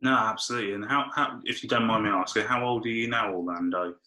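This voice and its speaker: A man's voice: lowish voice, fast, bright, forceful tone. A man, hosting an interview and asking questions in a friendly, casual way. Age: 20s. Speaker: interviewer host.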